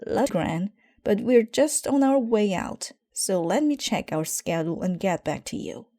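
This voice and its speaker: A busy mother bear from a picture book, acted out by a woman reader. She sounds flustered and harried, her pitch lifted, with a mellow, dry tone. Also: expressive, unhurried, no echo. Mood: apologetic, hurried.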